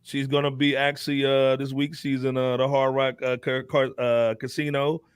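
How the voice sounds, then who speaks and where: A man giving casual hip hop commentary as a panelist, animated and slangy, often checking that listeners follow him. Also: medium pitch, moderate pace, clean tone, no room sound. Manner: animated slangy talk with repeated check-in phrases. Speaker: talk show panelist.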